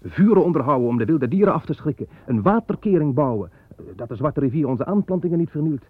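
A man's voice: on the high side, lively intonation, fast, no echo. A man playing a weary, hardworking son of the first man, talking bluntly and plainly in an old radio play. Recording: tinny old radio play recording with faint hiss.

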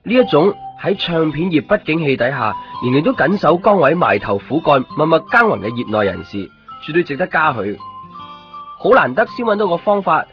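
A man's voice: fairly high pitch, sing-song, fairly quick. An actor playing an introspective, solitary young man with a Hong Kong Cantonese accent, wry and understated in a radio drama. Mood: wry, musing. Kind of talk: radio drama monologue and dialogue. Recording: dull radio broadcast with music underneath.

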